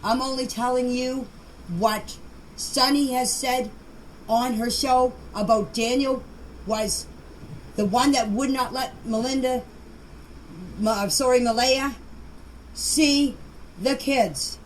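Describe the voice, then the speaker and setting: High-voiced older woman, noisy voice message. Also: steady tone. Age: middle-aged or older. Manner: defensive, insistent, repeating herself for emphasis; halting, stumbling over words and names. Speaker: member of an online group chat sharing voice messages. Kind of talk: casual unscripted voice messages. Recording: noisy amateur voice message recording.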